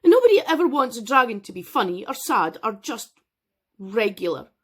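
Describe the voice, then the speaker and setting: A woman's voice: on the high side, big pitch swings, fairly quick, fairly bright tone, breathy edge, voice pushed up, full character voice. A woman voicing a sad young male dragon who is tired of being fierce, in a whiny, plaintive tone.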